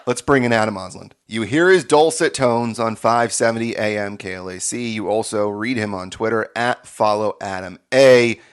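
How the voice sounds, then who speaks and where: A man hosting a casual sports podcast, energetic and quick to laugh. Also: lowish voice. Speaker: podcast host.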